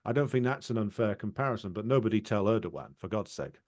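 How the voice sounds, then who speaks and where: A man with a fairly low voice hosts a talk on history. He speaks conversationally, stopping and restarting his sentences, with many fillers. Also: very animated, quick pace, darkish tone. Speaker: interviewer. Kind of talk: casual political discussion.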